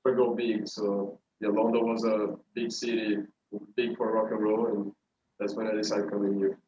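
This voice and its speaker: A man from Brazil, a band member speaking in short, halting phrases. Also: on the low side, level tone, mellow. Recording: muffled, faint background noise.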